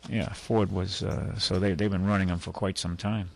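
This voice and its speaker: A man's voice: low voice, little pitch movement, moderate pace, gravelly, soft background music. A man hosting a radio car show, chatting casually about old cars in a rambling way with plenty of fillers and restarts. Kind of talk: casual on-air car chat. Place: radio studio.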